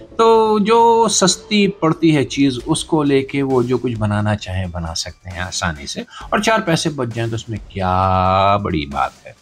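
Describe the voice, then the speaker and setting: A man's voice: mid-range voice, big pitch swings, unhurried. A man with a Pakistani accent delivering satirical news commentary as a podcast host, in a sarcastic, theatrical, rhetorical manner.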